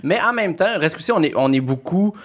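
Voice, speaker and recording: A man's voice: fairly high pitch, animated, fairly quick. A man with a Québécois accent chatting casually as a podcast guest, rambling and repeating his words. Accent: Québécois French. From Quebec. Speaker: guest comedian.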